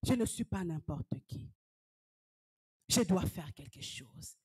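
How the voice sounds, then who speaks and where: Woman evangelist, slow deliberate delivery, fervent sermon with hushed and shouted passages. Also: fairly low pitch, lively intonation, slightly rough. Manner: fervent preaching, swings from hushed whispers to shouted exhortations. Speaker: evangelist preacher.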